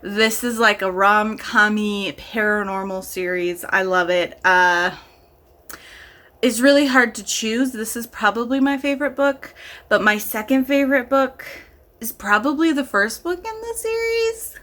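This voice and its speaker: Bright-voiced, gushing woman chatting about favourites. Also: a little high, unhurried. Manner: gushing, enthusiastic, emphatic. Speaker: book reviewer vlogger. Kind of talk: casual chat to camera.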